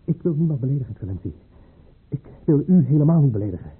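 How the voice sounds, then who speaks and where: A man's voice: medium pitch, sing-song, quick pace, husky. An actor playing a humble, wary common soldier in a radio play, hesitant and deferential toward his superior. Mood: cornered, agitated. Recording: muffled, narrow-band old radio recording.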